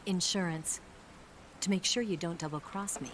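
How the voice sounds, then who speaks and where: Woman acting a cool, low-voiced female spy. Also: normal speed, slight background noise, close to an everyday voice. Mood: cool, wary. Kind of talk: video game voice acting.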